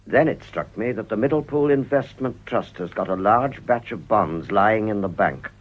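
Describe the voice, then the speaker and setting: An actor playing a stern, honest middle-aged company director in a radio drama, speaking gravely and firmly with a British accent. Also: male voice, on the low side, animated. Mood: measured. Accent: British English. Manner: grave, firm, deliberate. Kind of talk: radio play acting. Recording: dull, muffled old radio recording.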